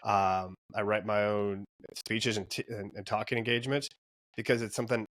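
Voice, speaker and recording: Low-pitched man, opinionated podcast host. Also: flat delivery, normal speed, fairly bright tone, no echo, poor audio. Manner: opinionated, informal. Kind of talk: casual chat.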